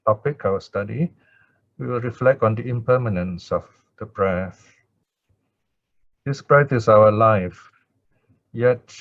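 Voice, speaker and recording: Slow, low-voiced male meditation teacher. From Singapore. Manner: calm, measured, short pausing phrases. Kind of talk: Pali chanting and slow guided breath meditation. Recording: thin phone-like audio, very dry.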